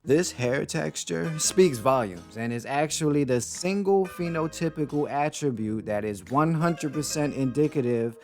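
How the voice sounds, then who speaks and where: A man's voice: fairly high pitch, lively intonation, measured pace, few pauses, a little mellow, faint music behind. A man giving casual video commentary on a cartoon, wry and joking in a conversational way. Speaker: YouTube commentator.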